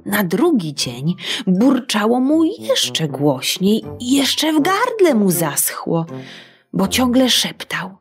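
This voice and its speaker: A woman narrating a children's audiobook in a playful, expressive way. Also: mid-range voice, slow, faint music behind. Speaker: storyteller.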